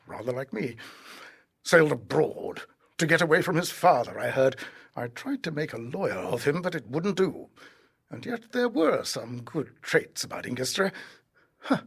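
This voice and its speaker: An actor playing a jovial, slightly vain elderly gentleman with a husky voice in a period audio drama. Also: fairly high pitch, sing-song, normal speed, exaggerated voice. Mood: reminiscing, gruff. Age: older.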